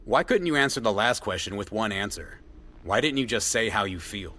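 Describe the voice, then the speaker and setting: A man's voice: fairly low pitch, animated, fairly quick, bright, forceful tone, a little hiss or hum. A man acting in an audio drama as an accusing, insistent figure from a dream, probing and confrontational.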